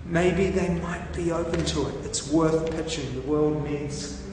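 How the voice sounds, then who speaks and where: A New man talks slowly in a somewhat high voice, stopping and starting as he thinks aloud. He is recorded from a distance in a very echoey room with a long reverb tail. Faint background hiss sits under his voice. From New Zealand. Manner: slow, halting, thinking aloud with many ums. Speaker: discussion participant. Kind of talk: casual brainstorming chat.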